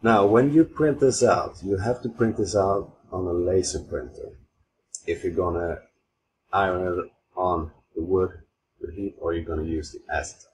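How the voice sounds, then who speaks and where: A man from Sweden with a Swedish accent, giving an informal software tutorial in simple, halting non-native phrasing. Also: deep voice, low-quality recording. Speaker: tutorial host. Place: voice-over recorded at an old computer. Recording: steady clear background noise, mouse clicks.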